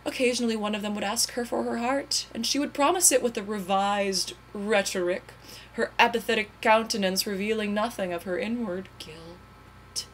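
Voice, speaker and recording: A woman's voice: medium pitch, steady tone, normal speed, a little hiss or hum, poor audio. A woman giving a melodramatic dramatic reading of overwrought prose, with a theatrical, mock-tragic and emphatic delivery. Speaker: reader.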